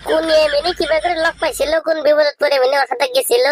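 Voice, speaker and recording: A man voicing a skeptical, needling young village friend in a comedy cartoon, with a rural Bangladeshi accent and pitched-up voice. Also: fairly even intonation, quick pace, background noise.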